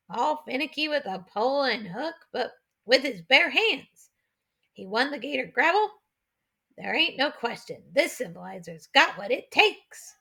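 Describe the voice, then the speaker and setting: A hearty old chief of a swamp tribe, played by a woman narrator, proud and ceremonious in a storybook adventure. The voice is high and gravelly, with rustic backwoods grammar and a folksy, speechmaking delivery. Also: sharp tone, exaggerated voice. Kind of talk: children's adventure audiobook character voice.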